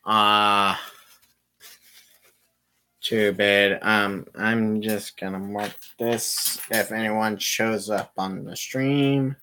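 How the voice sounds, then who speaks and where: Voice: low voice, steady tone, extremely slow, sharp tone. A casual game streamer with an informal, sweary way of talking, chatting live and reading visual novel dialogue aloud.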